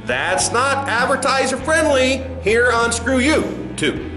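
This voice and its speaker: A man's voice: very high-pitched, sing-song, slow, exaggerated voice. A man playing a smug, condescending, evasive company executive in a comedy sketch. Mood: dismissive. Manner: condescending, pompous. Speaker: narrator and sketch performer. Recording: roomy sound with music underneath.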